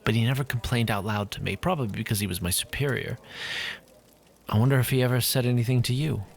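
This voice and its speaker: A man playing a cool-tempered, wistful city guard officer in a fantasy audio drama, speaking in a measured, hesitant way. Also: mid-range voice, creaky, close to an everyday voice. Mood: wistful, uneasy.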